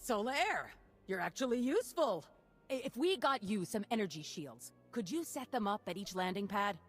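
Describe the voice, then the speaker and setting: An actress playing a frazzled, sarcastic town mayor in a video game, with a blustering, folksy, exclaiming delivery. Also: female voice, medium pitch, sing-song, moderate pace, soft background music. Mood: urgent. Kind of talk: video game character acting.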